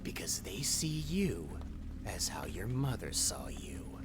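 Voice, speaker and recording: An actor playing a menacing, taunting, grandiose tormentor in an audio drama, swinging between whispers and shouts. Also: male voice, lowish voice, sing-song, slow, over music. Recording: long hall reverb, faint noise.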